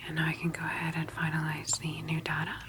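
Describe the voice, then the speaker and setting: A woman in a soft ASMR roleplay, speaking in a breathy whisper as a gentle, reassuring clinical office worker. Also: low-pitched, monotone, fast talker, background noise.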